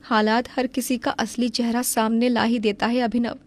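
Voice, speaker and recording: A woman as a high-voiced, aggrieved young wife. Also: flat delivery, moderate pace, close to an everyday voice. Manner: earnest, emphatic. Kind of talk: Hindi cartoon story acting.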